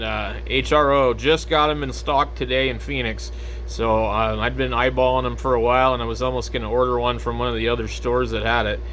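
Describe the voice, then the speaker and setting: American male ham operator, mid-pitched, casual and hesitant, slight radio noise behind him. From United States. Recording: steady background radio noise.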